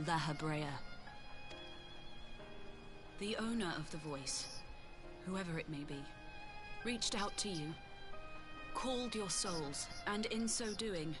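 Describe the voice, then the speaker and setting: Fantasy game sage: actress as commanding, scholarly woman, measured archaic delivery, on the low side, over prominent music. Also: lightly acted. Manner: measured, formal, archaic phrasing. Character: grave, commanding wise woman, mystical scholar. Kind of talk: video game voice acting.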